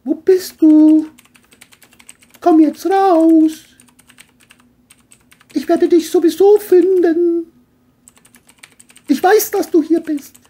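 High-pitched, volatile femme fatale. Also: female voice, dark tone. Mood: menacing. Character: seductive, volatile, menacing woman in crime thriller.

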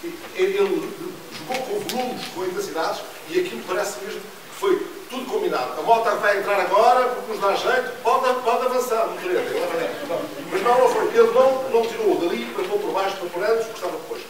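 Rapid-fire man in an echoey hall. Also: high-pitched. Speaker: panelist speaking about radio reporting. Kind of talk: fast, rambling public talk with many restarts. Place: library event room with audience. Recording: echoey hall, distant mic, noise.

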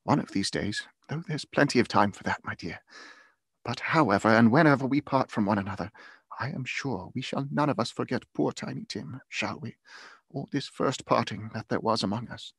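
A man voicing a kindly, grieving poor Victorian father in a storybook, speaking earnestly, emotionally and in a gently rambling way. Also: medium pitch, moderate pace, breathy edge, no room sound. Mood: tender, solemn. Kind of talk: storybook character voice in audiobook.

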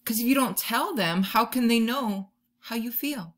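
A woman in her thirties doing an expressive children's read-aloud, drawing out key words like a teacher talking to young listeners. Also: on the high side, a little slow. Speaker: teacher reading a picture book to children. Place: bedroom or room at home, indoors.

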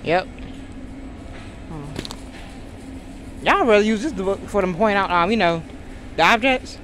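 A woman's voice: medium pitch, lively intonation, a little slow, a bit bright. A woman vlogging casually while shopping, talking with lots of ums and hesitations. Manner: chatty, hesitant with many ums. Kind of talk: casual family vlog chat. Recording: noisy phone recording.

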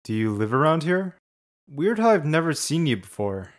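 A man's voice: on the high side, big pitch swings, moderate pace. A young man acting a cool, blunt, nonchalant teenage boy in a manga fandub, with a laid-back drawl. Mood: casual.

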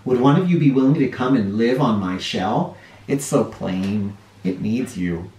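A man voicing a polite, earnest, timid little hermit crab from a children's storybook, gentle and expressive. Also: on the low side, measured pace. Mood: admiring, polite. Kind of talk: children's storybook character reading. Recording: roomy home sound, distant mic.

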